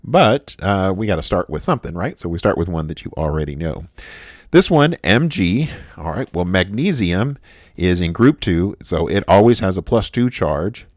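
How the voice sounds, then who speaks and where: A man with a very low voice teaches a recorded chemistry lesson, stopping often with "uh" and restarting his sentences. The sound is dry and close, with no room echo. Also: big pitch swings. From United States. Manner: halting, frequent "uh" fillers and restarts. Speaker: chemistry instructor. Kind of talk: recorded lecture.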